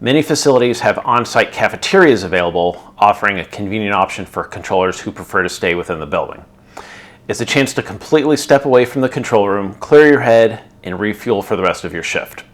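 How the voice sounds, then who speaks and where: A middle-aged man hosting his channel, narrating a scripted explainer in a clear, instructional way. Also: on the low side, lively intonation, a little fast. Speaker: channel host explaining air traffic control.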